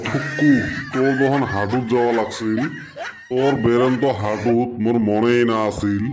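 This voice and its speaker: A man's voice: medium pitch, fairly even intonation, moderate pace, noisy background, natural voice. A man playing a stingy village husband and father in a comedy cartoon, muttering sarcastic complaints in a rural northern Bengali border dialect. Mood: sarcastic teasing. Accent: rural northern Bengali dialect of the Assam-Bengal border.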